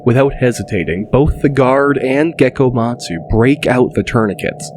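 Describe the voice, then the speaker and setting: A man narrating a scripted audiobook dramatically, in a deliberate, descriptive way. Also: medium pitch, darkish tone. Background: music.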